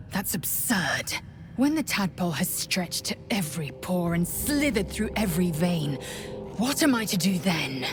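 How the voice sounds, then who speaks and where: Fierce fantasy game warrior: deep for a woman, imperious, dramatic, hissing intensity. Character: proud, fierce alien warrior woman, scornful and devout. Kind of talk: fantasy video game acting.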